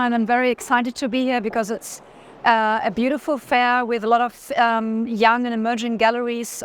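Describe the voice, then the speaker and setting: Female collector as interview guest, higher voice, unscripted and hesitant. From Germany. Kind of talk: unscripted conversation.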